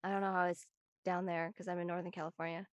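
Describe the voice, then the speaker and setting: A woman's voice: on the low side, little pitch movement, fast, no room sound, muffled recording. A woman from the United States giving her thoughts in a casual panel discussion. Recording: background noise and room ambience.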